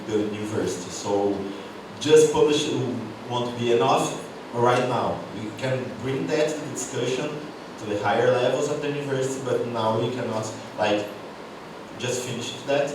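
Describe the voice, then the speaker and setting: A male professor speaking haltingly with many fillers in a university panel discussion. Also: mid-range voice. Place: university auditorium. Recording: echoey hall, distant mic, noisy.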